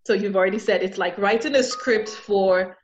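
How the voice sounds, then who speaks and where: A woman's voice: mid-range voice, normal speed. A woman hosting a casual conversational interview, asking questions and restating the answers to sum them up. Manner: repeats and sums up the guest's points. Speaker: podcast host, interviewer. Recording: slightly dull lo-fi sound.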